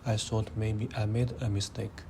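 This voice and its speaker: A young man of eighteen with a Mandarin Chinese accent, his voice sitting a little low. He answers in a measured, hesitant way, pausing on fillers. Faint background noise runs under the recording. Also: level tone. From China. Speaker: interviewee, high school student. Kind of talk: careful answering in interview.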